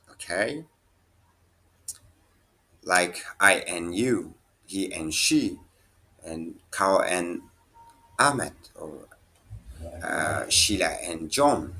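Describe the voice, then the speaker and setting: Slow, halting man teaching a lesson. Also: fairly low pitch, fairly bright tone. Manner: halting, thinks aloud, restarts and fillers. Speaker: language teacher. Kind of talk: casual screen-share lesson.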